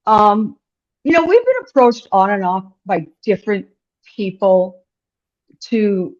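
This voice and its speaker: A woman in her sixties speaks at an ordinary middle pitch, chatty and direct as she tells her story. The podcast recording sounds a little dull and lo-fi. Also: sing-song, takes it slowly. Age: 60s. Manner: frank and direct, chatty, acts out quoted dialogue. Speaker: business owner guest. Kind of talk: conversational storytelling in an interview.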